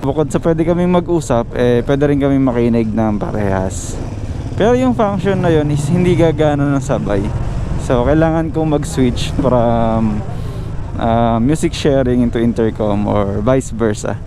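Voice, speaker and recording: A man's voice: mid-range voice, lively intonation, unhurried. Casual Filipino man vlogging over road noise. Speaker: motovlogger host. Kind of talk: casual vlog chat to viewers with English mixed in.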